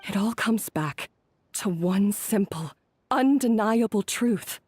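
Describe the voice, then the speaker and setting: A woman's voice: mid-range voice, animated, takes it slowly, a little mellow, breathy edge. An actress voicing an insecure young female head chef who doubts her own talent, speaking hesitantly in a game cutscene. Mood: doubtful.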